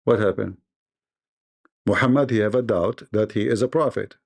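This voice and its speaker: A man's voice: lowish voice, normal speed, vocal fry. A man hosting a live call-in debate, pressing his caller with repeated questions in broken English. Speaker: debate livestream host.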